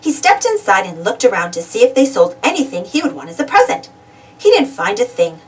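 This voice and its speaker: A woman reading a children's storybook aloud in an expressive, dramatic storytelling manner. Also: a little high, brisk, far from the mic. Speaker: storybook reader. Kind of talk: animated children's read-aloud narration.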